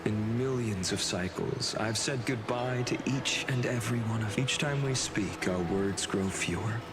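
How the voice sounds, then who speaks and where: An actor voicing a solemn, gentle and resolute male hero in a video game, with measured, earnest delivery and dramatic pauses. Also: on the low side, lightly acted. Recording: game audio under loud music.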